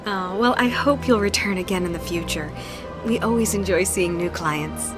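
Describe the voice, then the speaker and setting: An actress voicing a courteous, welcoming receptionist. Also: female voice, mid-range voice, normal speed, close to an everyday voice. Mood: polite. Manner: polite, welcoming. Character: polite female receptionist, courteous hostess. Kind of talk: video game voice acting. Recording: game audio over music.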